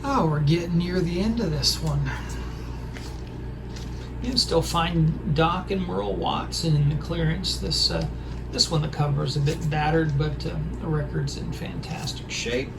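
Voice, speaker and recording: Hoarse man, raspy and gravelly, halting delivery, noisy recording. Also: on the high side. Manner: halting, hesitant, full of pauses. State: hoarse from a throat injury. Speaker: record collector vlogger. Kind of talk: casual record haul show-and-tell.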